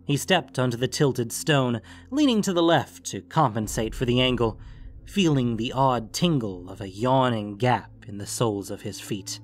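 A man's voice: medium pitch, lively intonation, normal speed. A man narrating a scripted fantasy story as the narrator of an audio production. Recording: music under the voice.

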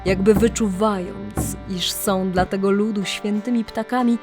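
A woman's voice: mid-range voice, steady tone, moderate pace, music in the background. A woman narrating a legend as a solemn, dramatic storyteller in archaic, scripted language.

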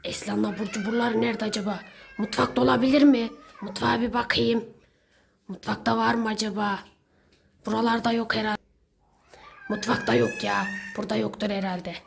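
A woman playing a sneaky, hungry neighbor villain in a children's pretend-play skit, muttering theatrically to themselves with drawn-out complaints. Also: on the high side, steady tone, creaky, a little hiss or hum. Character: sneaky, hungry Hello Neighbor villain, seeker and snack thief. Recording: loud music over the voice.

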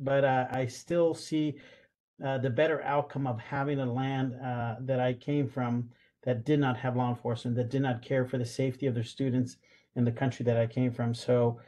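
A man's voice: medium pitch, warm tone. Guy w a talking earnestly on a video call, lots of uhs and restarts. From Guatemala. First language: Spanish. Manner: earnest, many fillers and restarts. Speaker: panelist, school police association president. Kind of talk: online panel discussion. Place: home or office room, video call. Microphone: video call webcam.

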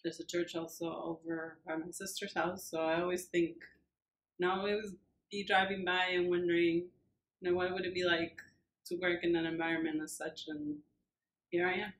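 A woman's voice: on the low side, flat delivery, normal speed, rough recording. A woman in her thirties from Argentina, answering interview questions in a plain, friendly way with fillers, in a small office. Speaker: church administrative assistant. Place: small church office, indoors.

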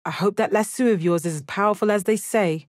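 An actress voicing a calm, stoic alien warrior woman in a video game, with measured, deadpan, formal phrasing and a confident, kind temper. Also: medium pitch, dry recording, studio quality, close to an everyday voice. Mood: assured. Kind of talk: video game character voice lines.

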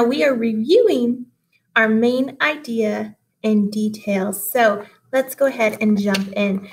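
A woman in her thirties, a teacher giving an expressive, instructive read-aloud lesson for children. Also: on the high side, rough recording. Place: small classroom indoors.

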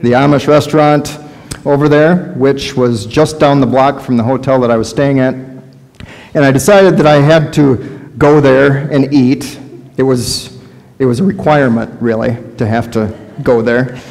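A middle-aged man with an American Midwestern accent, preaching a story-led sermon in deliberate, halting phrases. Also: mid-range voice, fairly even intonation, creaky, a little mellow. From Wisconsin, United States. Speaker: Lutheran pastor. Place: large church sanctuary, indoors, before a congregation. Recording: reverberant church hall.

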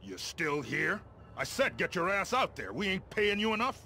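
A blunt American army colonel. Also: male voice, high voice, very animated, normal speed, gravelly, sharp tone, slight background noise, heavily acted. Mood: stern, impatient. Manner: blunt, commanding, wry. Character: gruff, impatient American army colonel, middle-aged man. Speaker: military officer giving mission briefings. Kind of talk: video game mission briefing dialogue.

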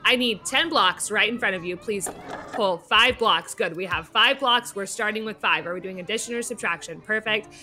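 A woman's voice: medium pitch, normal speed, pushed and bright, a little hiss or hum. A woman playing a busy, stern elementary teacher who gives firm, repeated instructions in an acted classroom scene.

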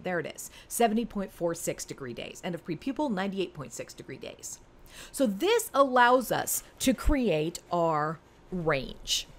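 Female instructor, forties, highly animated delivery, informal and emphatic, walking a learner through material. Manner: conversational, emphatic, talks to the learner with rhetorical questions. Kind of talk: informal screen-recorded lesson, explaining step by step.

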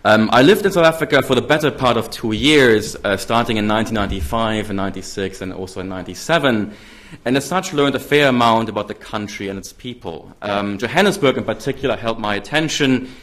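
A man in his thirties with a German accent, giving a formal keynote lecture at a podium microphone in a large hall, measured with frequent ums. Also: fairly low pitch, a bit bright. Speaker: keynote speaker. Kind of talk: academic lecture.

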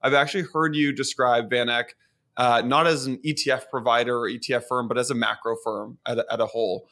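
A young man hosts a podcast from his home office, talking into a studio microphone on a boom arm. He sounds upbeat and chatty, moving quickly through his questions. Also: steady tone, pushed and bright. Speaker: podcast host. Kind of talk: casual interview hosting. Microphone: studio mic on boom arm.

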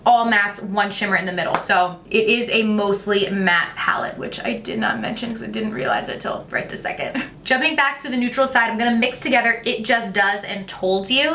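A young woman, talking through a makeup tutorial in a chatty, gushing way, her voice a little raspy today. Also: medium pitch, sharp tone, roomy sound, distant mic, a little hiss or hum. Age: young adult. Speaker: beauty vlogger. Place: bright home room with makeup shelves. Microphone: camera at close framing.

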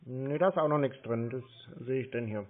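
A man taking a phone call from a scammer, asking dry, deadpan questions. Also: mid-range voice, moderate pace. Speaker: call recipient baiting a scammer. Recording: tinny phone line.

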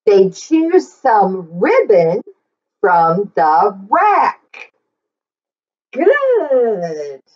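A middle-aged woman reading aloud to young children in an animated, sing-song way, with encouraging asides. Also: medium pitch, very slow, pauses often, dull audio. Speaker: children's story reader and teacher. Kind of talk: read-aloud for young children, repeating lines slowly. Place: small plain indoor room.

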